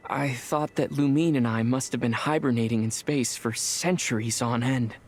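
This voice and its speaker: An actor playing a thoughtful, earnest young male traveler in a video game, speaking in a measured, reflective way. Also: mid-range voice, slight background noise, natural voice. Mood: pensive, earnest. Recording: music behind the voice.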